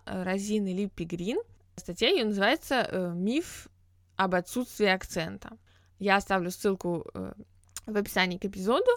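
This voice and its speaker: A woman's voice: mid-range voice, dry recording, clean studio sound. A woman hosting a podcast, talking through ideas on her own in an explanatory, reflective way with frequent hesitation sounds. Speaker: podcast host. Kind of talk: solo podcast monologue.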